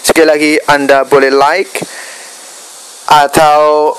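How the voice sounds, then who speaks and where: This is a man with a Javanese Indonesian accent and a voice pitched a little high. He speaks directly to his viewers as a video blogger. His delivery is earnest and a touch preachy, and it often halts in the middle of a phrase. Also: flat delivery, a bit bright, clean tone, poor audio. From Indonesia, Java. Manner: halting, pausing mid-phrase. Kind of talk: earnest spoken commentary addressed to viewers.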